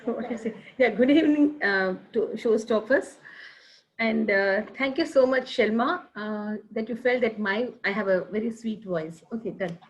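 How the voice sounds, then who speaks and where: A woman's voice: on the high side, normal speed. A woman giving a chatty, encouraging evaluation at an online speaking club meeting over a video call. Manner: warm, encouraging, chatty with frequent fillers.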